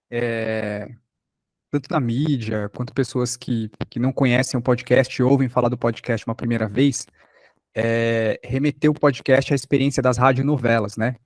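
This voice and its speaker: A man with a Brazilian accent teaching a workshop informally, thinking aloud in a halting way. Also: mid-range voice, no room sound. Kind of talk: informal spoken lesson.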